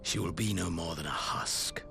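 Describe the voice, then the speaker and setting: An actor playing a cold, calculating imperial lord in a video game, speaking in measured, formal commands. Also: male voice. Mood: cold, commanding. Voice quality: very deep, gravelly, breathy at phrase ends. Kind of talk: dramatic video game cutscene acting. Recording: loud music under the voice.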